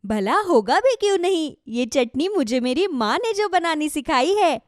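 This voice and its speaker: A woman voicing an outspoken, thrifty village wife in a children's story, speaking with animated emphasis. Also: sits high, a little slow, no echo, clean studio sound, voice pushed up. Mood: helpful.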